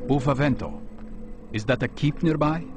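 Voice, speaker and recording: An actor playing a calm, self-assured assassin, speaking in a measured, formal way in a video game cutscene. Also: male voice, a little high, lightly acted. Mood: guarded. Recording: music behind the voice.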